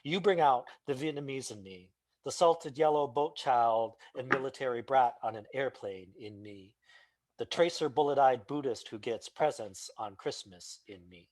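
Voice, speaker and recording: A man's voice: mid-range voice, moderate pace, no echo, low-quality recording. A man, a poet, talking loosely and hesitantly about his poem, then reading a few lines of it aloud. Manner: rambling, hesitant, self-deprecating. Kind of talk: casual talk and poetry reading.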